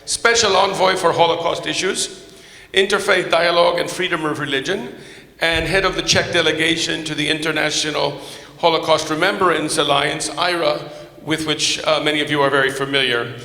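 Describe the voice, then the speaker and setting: A man whose first language is Polish, moderating a panel in English, formal and courteous, halting with many fillers and restarts. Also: fairly high pitch, fairly even intonation, gravelly, bright, forceful tone. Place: large conference hall with podium. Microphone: microphone. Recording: echoey hall with long reverb.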